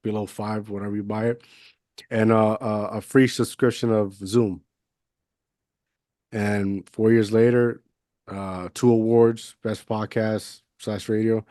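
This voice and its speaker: Podcast host, man in his 30s from Puerto Rico; low, dry, rambling delivery. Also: level tone, slow pace, warm tone, no echo. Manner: rambling, lots of fillers and restarts. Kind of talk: casual conversational interview. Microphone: budget USB mic, video call.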